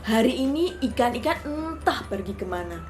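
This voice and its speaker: A woman's voice: fairly high pitch, expressive, moderate pace, soft background music, lightly acted. Lady doing a naive hungry crocodile for a kids story, blunt, voice goes up and down a lot. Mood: naively pleased. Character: gullible, hungry crocodile, grumpy but trusting. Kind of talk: children's storybook character voice.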